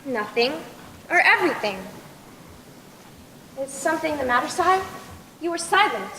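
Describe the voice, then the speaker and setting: A woman's voice: high-pitched, animated, moderate pace, sharp tone, heavily acted. A young teenage girl acting a clever, respectful storyteller in a school play, with formal, projected delivery. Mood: calm, knowing. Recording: echoey hall, noisy distant stage recording.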